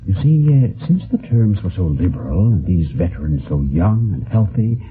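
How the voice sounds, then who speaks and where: Deep-voiced, formal radio-drama businessman. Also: very animated, a little hiss or hum. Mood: smug, explanatory. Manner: measured, wordy, formal explanations. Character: formal, self-satisfied male loan company businessman. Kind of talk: old-time radio detective drama acting. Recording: tinny band-limited vintage radio broadcast.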